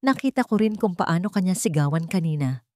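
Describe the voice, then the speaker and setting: A woman's voice: fairly low pitch, lively intonation, a little fast, darkish tone, no room sound, clean studio sound, lightly acted. A woman playing a weary, remorseful man in a story drama, subdued and pleading. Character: weary, remorseful man, the estranged former husband.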